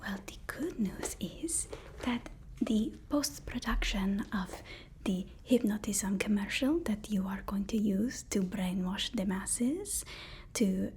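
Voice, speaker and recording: A woman's voice: mid-range voice, darkish tone, a little hiss or hum. Woman playing a whispering, devoted villain's assistant. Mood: pleased, eager. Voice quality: breathy whisper. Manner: deferential, hesitant, slow and soothing. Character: devoted, nervous female personal assistant to a supervillain. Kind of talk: ASMR roleplay.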